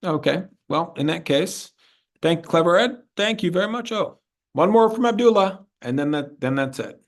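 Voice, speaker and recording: Gravelly-voiced man giving informal advice. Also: a little high, lively intonation, moderate pace. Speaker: admissions consultant answering student questions. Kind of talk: informal advisory Q&A.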